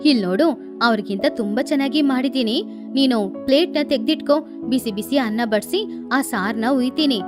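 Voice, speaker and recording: Mother bird acted by a woman for a storybook cartoon: high-pitched, devoted, earnest and protective. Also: normal speed, lightly acted. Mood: happy. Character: devoted, protective mother sparrow, poor but determined. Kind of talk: children's animated bedtime story dialogue. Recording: music behind the voice.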